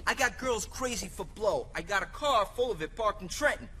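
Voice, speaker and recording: A cocky, bossy mafia capo for a video game cutscene. The voice is very high and piercingly bright. He wheedles and mocks smugly, over faint noise. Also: animated, brisk. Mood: bossy wheedling. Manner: smug, wheedling, mocking.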